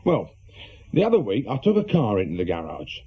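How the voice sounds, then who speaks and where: An actor playing an awkward middle-aged reformed small-time crook with an English accent, a worried husband in a British radio drama, husky and hesitant. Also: male voice, fairly low pitch, huge pitch swings, brisk, exaggerated voice. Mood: uneasy, worried. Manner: hesitant, colloquial, defensive. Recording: muffled, lo-fi radio drama recording.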